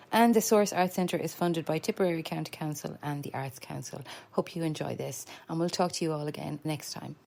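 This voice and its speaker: A woman's voice: fairly low pitch, darkish tone. A woman with an Irish accent, talking casually as a podcast host. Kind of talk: casual interview hosting.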